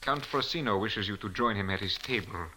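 A man's voice: on the low side, fairly quick, sharp tone. An actor playing a polite, formal, obsequious male secretary to a nobleman in a 1940s radio drama. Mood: polite, submissive. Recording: noisy old radio recording with clicks.

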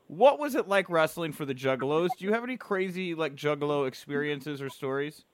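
A man's voice: on the high side, expressive, brisk, a little hiss or hum. A man hosting a casual wrestling interview, friendly and upbeat.

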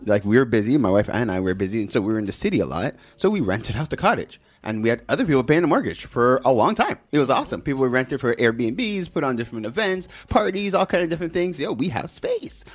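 A man's voice: mid-range voice, very animated, fast talker, hardly any pauses, husky. A man hosting a show, talking in a casual, conversational way with plenty of slang. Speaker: show host. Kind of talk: casual podcast-style talk.

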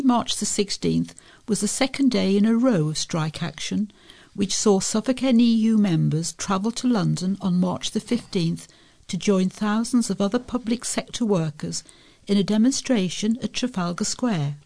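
A woman with a creaky voice reading local newspaper articles aloud as a volunteer reader. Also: mid-range voice, dark tone.

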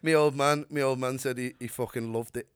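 A man chats as a podcast co-host, mid-pitched, stumbling and repeating himself. He laughs often and swears casually. The sound is dry and close. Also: steady tone, a little fast, clear, resonant tone, dry recording. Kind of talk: casual chat.

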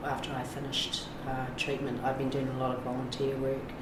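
Middle-aged New woman, very deep voice, frank and rambling, echoey room with noise. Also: monotone, brisk. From New Zealand. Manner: frank, rambling, many fillers and restarts. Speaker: addiction recovery navigator. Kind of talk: candid interview talk.

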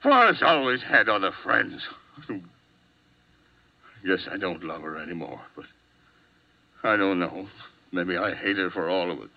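Low-voiced, volatile jealous husband, old radio. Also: male voice, very animated, unhurried, vocal fry, pauses often, full character voice. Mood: subdued, bitter. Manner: volatile, swinging from menacing outbursts to broken murmurs. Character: hot-tempered desperate jealous husband, hunted murder suspect. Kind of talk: 1950s radio drama acting. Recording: dull, band-limited old 1950s radio recording.

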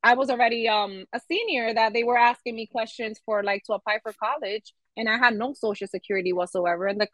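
Woman, 30s, new york accent, podcast guest, reflective, relaxed, slightly high voice, dry close sound. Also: quick pace, hardly any pauses, no room sound. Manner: reflective, relaxed, frequent filler words. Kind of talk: casual conversational interview.

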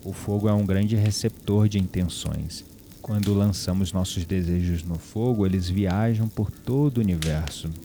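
A man playing a calm, mysterious ritual guide with a Brazilian accent in an audio drama, speaking instructively. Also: low voice, normal speed, a little hiss or hum. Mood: calm, enigmatic.

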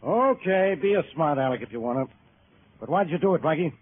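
A man's voice: a little high, animated, fairly quick, crowd noise, close to an everyday voice. An actor playing a hot-tempered, sarcastic police inspector who bullies his suspects, in the style of forties radio drama. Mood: irritated, threatening. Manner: blunt, bullying, sarcastic interrogation. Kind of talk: 1940s radio drama acting. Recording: tinny, hissy old radio recording.

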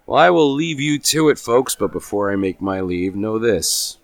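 A man's voice: lowish voice, lively intonation, moderate pace, no room sound. A man playing a smug, menacing small-town crime boss who talks in a mock-polite drawl. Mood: smug menace.

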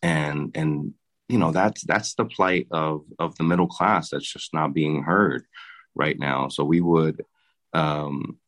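Very deep-voiced young man, halting. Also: steady tone, fairly bright tone. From Dominican Republic. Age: young adult. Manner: halting, with many restarts and repeated words. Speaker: mayor and city commissioner. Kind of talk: casual interview answers.

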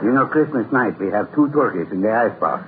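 A man playing a folksy working man who grumbles with deadpan humor in an old radio comedy. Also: on the low side, brisk, natural voice. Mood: deadpan grumbling. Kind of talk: 1950s radio comedy acting. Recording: noisy, narrow-band vintage radio recording.

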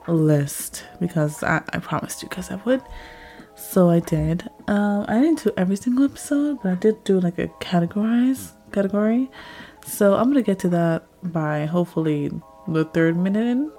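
Young woman, raspy and a bit hoarse, low mellow voice, rambling casual host chat, soft music behind. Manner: chatty, rambling with many fillers. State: slightly hoarse from a cold. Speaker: podcast host.

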